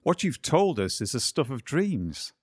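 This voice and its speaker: A man's voice: medium pitch, sing-song, a little slow, breathy edge. A man plays a worn-out, skeptical scientist in a radio drama. His voice rises and falls a lot, and he sounds dismissive and tired. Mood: impatient. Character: weary, skeptical male scientist. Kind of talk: community radio play acting.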